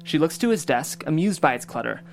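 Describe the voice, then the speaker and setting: A man's voice: fairly high pitch, lively intonation, fairly quick, gravelly, a little hiss or hum. A man who hosts a table read and reads out the stage directions. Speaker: stage directions reader and host. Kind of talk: scripted narration.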